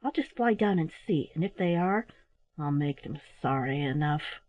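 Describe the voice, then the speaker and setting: Female narrator playing old mother owl: flustered, scolding, animated, storybook style, dry sound. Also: deep voice, normal speed, no echo, phone-quality sound, pitched below the performer's own voice. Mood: suspicious, threatening. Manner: sharp, exclamatory scolding.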